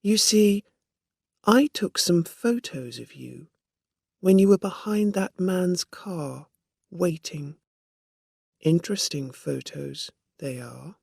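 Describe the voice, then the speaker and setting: Narrator as firm, accusing woman, slightly gravelly. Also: medium pitch, animated, slow, dry recording, clean studio sound, heavily acted. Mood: shrewd, confident. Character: Dorothy Burns, assertive accusing woman, shrewd and controlled.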